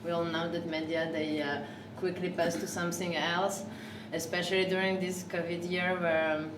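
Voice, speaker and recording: A woman hosts a live talk in a café, her voice fairly low and caught from across an echoey room. English is not her first language, and she talks haltingly, with plenty of fillers and false starts. A steady hum of noise runs under her. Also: distant mic. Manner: hesitant, many fillers and restarts. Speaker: café host.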